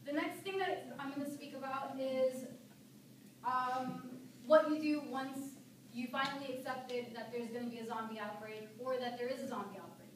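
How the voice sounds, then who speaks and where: A woman's voice: high voice, fairly even intonation, moderate pace. A young woman giving an informal, humorous speech in a conversational, colloquial way, addressing her listeners casually. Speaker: student speaker giving a speech. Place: echoey room, recorded from a distance. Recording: echoey room, distant mic, noisy background.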